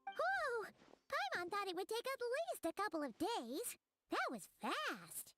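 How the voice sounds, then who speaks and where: A woman voicing a tiny, excitable floating girl companion in an anime video game, with a squeaky, childlike voice. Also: a little slow, full character voice. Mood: surprised. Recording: game music behind the voice.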